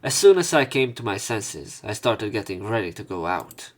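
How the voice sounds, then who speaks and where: A man's voice: on the low side, very animated, moderate pace. A man doing let's play commentary, reading game text aloud and adding informal, rambling, hesitant remarks. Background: soft game music.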